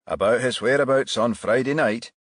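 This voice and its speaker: A man's voice: medium pitch, moderate pace, dry recording, studio quality, voice pushed up, natural voice. A man voicing a blunt, derisive male police sidekick in a crime audiobook, colloquial in manner. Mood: sceptical.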